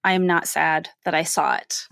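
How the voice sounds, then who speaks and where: A woman's voice: sits low, steady tone, moderate pace, gravelly, a bit bright, dry recording, clean studio sound. A woman co-hosting a podcast in casual, informal chat, with a playful and reactive manner. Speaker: film podcast co-host. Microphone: studio microphone, close.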